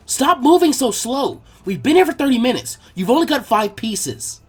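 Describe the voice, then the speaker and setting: A man voicing a loud, impatient, hyperactive boy ninja who complains indignantly. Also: very high-pitched, very animated, normal speed, breathy edge, voice pushed up, heavily acted. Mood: impatient yelling.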